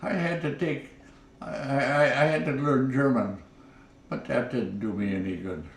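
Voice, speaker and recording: A man in his nineties from Minnesota, reminiscing in an interview at home, halting and repeating words as he goes. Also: mid-range voice, echoey room, recorded from a distance, a little hiss or hum. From Minnesota, USA. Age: 90s. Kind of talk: oral history reminiscing. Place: living room at home.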